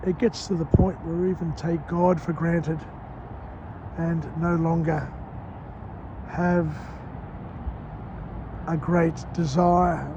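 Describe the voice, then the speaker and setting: A croaky-voiced man walks outdoors at dawn, filming a vlog as he goes. He speaks in short, slow phrases. The muffled recording picks up clear traffic noise around him. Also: fairly high pitch, little pitch movement. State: hoarse, croaky voice. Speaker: vlogger. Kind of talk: walk-and-talk book summary vlog. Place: outdoors on a city street at dawn.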